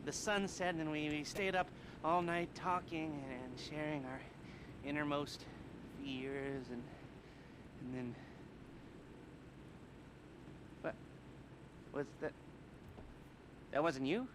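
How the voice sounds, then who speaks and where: An actor playing a manic, unstable middle-aged heist planner in a video game, stammering and rambling erratically. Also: male voice, a little high, steady tone, takes it slowly, pauses often, noisy background. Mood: sentimental, rambling, then confused, embarrassed. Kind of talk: video game cutscene acting.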